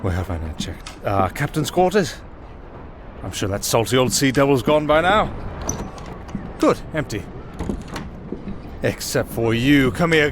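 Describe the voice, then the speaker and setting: Roguish young musician played by a man, higher-pitched, wry and glib, fantasy roleplay with loud background ambience. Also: background noise, close to an everyday voice. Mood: wry, nervous bluffing. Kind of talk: fantasy audio roleplay acting.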